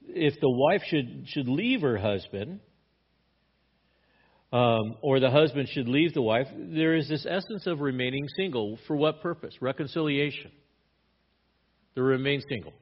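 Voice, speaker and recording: A man's voice: medium pitch, breathy edge, muffled recording. A man pastor teaching a sermon verse by verse in a conversational manner, often restarting his words.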